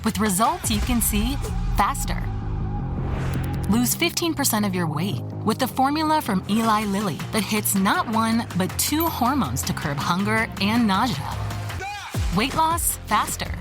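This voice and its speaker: A woman's voice: a little high, sing-song, a little slow. Punchy woman ad announcer with music. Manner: punchy, salesy. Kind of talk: scripted commercial read.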